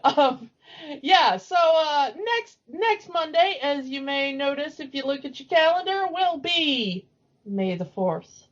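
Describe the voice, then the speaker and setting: Woman, higher-pitched voice, casual podcast co-host, chatty, slightly dull lo-fi recording. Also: expressive, slow pace. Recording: dull lo-fi audio.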